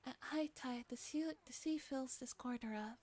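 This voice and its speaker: A woman's voice: high voice, moderate pace, a little mellow, voice pushed up. A woman voicing a caring, responsible schoolgirl with an earnest, slightly fussy manner, in a visual novel reading. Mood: explaining. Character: caring, responsible schoolgirl minding younger children.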